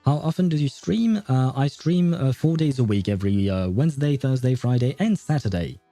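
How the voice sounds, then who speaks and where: A man's voice: mid-range voice, expressive, brisk, dark tone. A man livestreaming a game, casually thinking aloud and theorizing in an informal, mildly sweary way. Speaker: livestreamer playing a story game. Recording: roomy echo, faint music behind. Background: faint game music.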